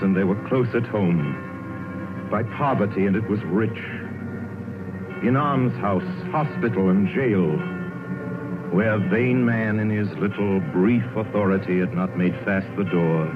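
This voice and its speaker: Deep-voiced male radio narrator. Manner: measured, storytelling. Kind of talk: scripted dramatic narration. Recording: phone-like old radio broadcast with music.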